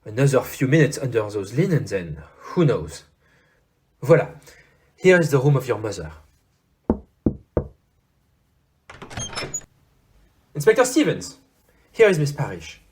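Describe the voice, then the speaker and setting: An actor playing a courteous, shrewd foreign detective in an audio play, speaking formally with French exclamations. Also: male voice, medium pitch, very animated, moderate pace, a little mellow, close to an everyday voice. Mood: courteous, assured.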